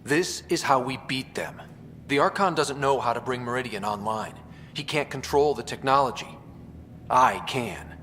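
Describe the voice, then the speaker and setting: A man's voice: mid-range voice, normal speed, a bit bright, a little hiss or hum, lightly acted. An actor playing a determined, wry young man who leads explorers in a sci-fi video game. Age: young adult. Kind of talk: naturalistic video game cutscene acting. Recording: long echoey reverb, faint music behind.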